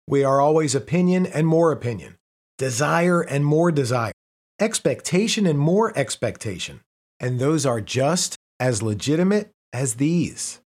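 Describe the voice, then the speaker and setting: Man, slightly higher voice, scripted reading, measured, even, preachy. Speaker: reader. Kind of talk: scripted reading of a relationship article.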